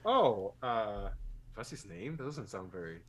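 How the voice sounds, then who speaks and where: A man's voice: lowish voice, sing-song, measured pace, a crowd in the background. A man hosting a film podcast in casual conversation, joking and self-deprecating as he rambles through his thoughts. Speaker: podcast host.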